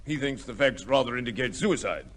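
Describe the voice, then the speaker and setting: Male actor as discreet government major, old radio play, official manner, mid-pitched. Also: expressive, fairly quick, a bit bright, slight background noise, lightly acted. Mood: matter-of-fact. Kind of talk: old-time radio drama acting. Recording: old radio drama recording.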